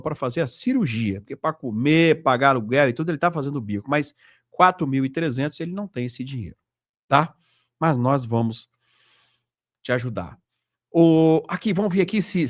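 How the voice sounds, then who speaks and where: A man's voice: a little high, no room sound, studio quality. A Brazilian man hosting an informal commentary video, addressing viewers directly and repeating himself with frequent tag questions. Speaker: host of a political video channel.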